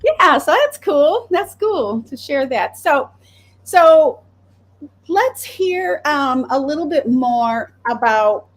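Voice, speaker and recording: A woman from Wisconsin hosting a podcast, chatting casually and telling animated stories. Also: high-pitched, slow. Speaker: podcast host. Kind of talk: casual chat.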